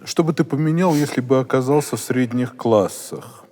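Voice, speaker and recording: A man's voice: medium pitch, mellow. Man asking questions in a reverberant church. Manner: halting, rephrasing mid-question. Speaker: journalist, interviewer. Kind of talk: interview questions. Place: large stone church.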